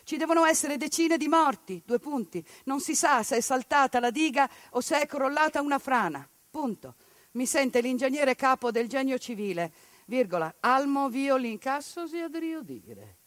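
A woman in a theatrical monologue who delivers a grave, measured report and reads the punctuation aloud. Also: high-pitched, no echo. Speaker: stage performer. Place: radio studio or stage.

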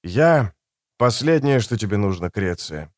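A weary older man acted by a male reader speaks at a medium pitch, reserved and grave. His words are terse and subdued, weighed down by guilt. The audiobook recording is close and dry. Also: very animated, moderate pace, no room sound, lightly acted. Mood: regretful. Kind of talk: audiobook character dialogue.

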